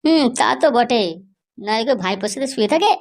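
A man's voice: very high-pitched, expressive, a little fast. A man voicing a gossipy, foul-mouthed sidekick in a comedy cartoon. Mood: gossipy, scandalized. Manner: gossipy, crude.

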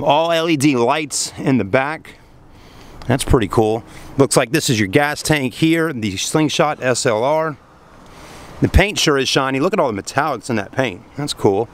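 A man's voice: mid-range voice, lively intonation, a little slow, long pauses, slight background noise. A man in his thirties giving a casual, enthusiastic walkaround of a car, camera held close. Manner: enthusiastic, rambling, lots of fillers. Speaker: car dealership vlogger. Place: outdoors in a parking lot and an open car.

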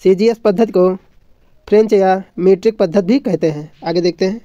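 A man's voice: high voice, normal speed, dark tone. A man teaching an exam lesson from notes, didactically repeating points and answering his own questions. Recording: dull lo-fi, roomy echo.

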